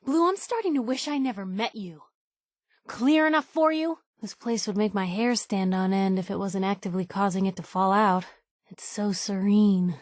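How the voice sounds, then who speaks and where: A woman's voice: mid-range voice, normal speed, darkish tone, no room sound, clean studio sound, lightly acted. An actress playing a spirited, wry and earnest young woman reporter in video game dialogue. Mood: earnest, affectionate. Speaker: video game companion voice actor.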